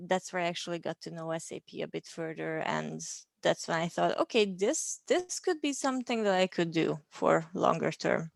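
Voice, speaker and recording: A woman's voice: fairly low pitch, moderate pace, gravelly, few pauses, no echo. Hungarian woman in her 30s, chatty, lots of ums, dry close sound. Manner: chatty with frequent ums and laughs. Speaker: interview guest. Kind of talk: casual interview.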